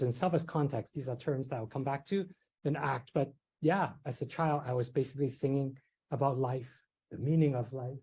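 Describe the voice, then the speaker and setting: A man's voice: medium pitch, warm tone, breathy edge. A middle-aged man from Hong Kong giving a psychiatry lecture, telling personal stories in a conversational way with many fillers and light self-deprecating laughs. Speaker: psychiatry professor. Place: lecture hall.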